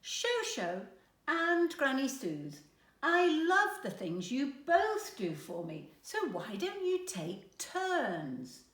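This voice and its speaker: A woman's voice: high voice, huge pitch swings, slow, a bit bright, reverberant, pitched above the performer's own voice, full character voice. A woman voicing an eager, affectionate little granddaughter from a children's storybook. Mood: earnest, affectionate. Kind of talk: children's storybook read aloud.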